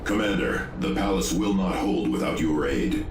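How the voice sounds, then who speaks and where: Extremely deep-voiced military officer played by a man. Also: normal speed, echoey room, very noisy, close to an everyday voice. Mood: urgent. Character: deep-voiced grim military officer reporting turret defenses to his commander. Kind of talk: video game voice acting, military radio report.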